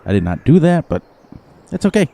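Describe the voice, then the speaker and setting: A man streaming a farming game live, chatting casually with viewers, his voice a little hoarse. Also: a little high, sing-song, brisk, mellow. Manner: rambling, playful, sing-song repetitions. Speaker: gaming livestreamer. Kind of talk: casual live gameplay commentary.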